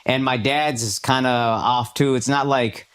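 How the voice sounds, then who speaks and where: A man co-hosting a podcast, joking around with quick, casual reactions on a studio mic. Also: medium pitch, steady tone, a bit bright, clean tone. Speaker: podcast co-host. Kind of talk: casual banter.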